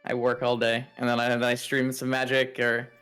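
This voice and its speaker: Tentative young man with soft background music. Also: medium pitch, completely flat delivery, normal speed, clean tone. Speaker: podcast guest. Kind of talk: casual chat. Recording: music behind the voice. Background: faint background music.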